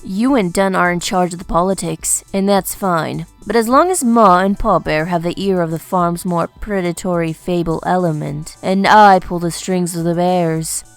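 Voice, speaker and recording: A woman playing a bossy militant revolutionary in a comic dub, authoritative and brisk. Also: lowish voice, faint music behind, natural voice. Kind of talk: comic-book dub acting.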